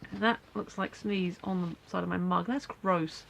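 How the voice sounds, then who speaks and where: A woman's voice: lowish voice, steady tone, moderate pace, gravelly, a bit bright, a little hiss or hum. A woman with a stuffy nose from a cold, rambling casually with many fillers in a daily video diary from home. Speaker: vlogger.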